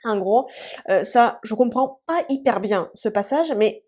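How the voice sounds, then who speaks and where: A woman in her thirties, a native Russian speaker, talks her students through an online lesson in a chatty, unhurried way. Her voice is mellow and warm, and she often pauses with little fillers while she thinks. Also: a little high, animated. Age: 30s. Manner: chatty, hesitant with many "euh" fillers. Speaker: Russian teacher. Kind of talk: casual explanatory online lesson.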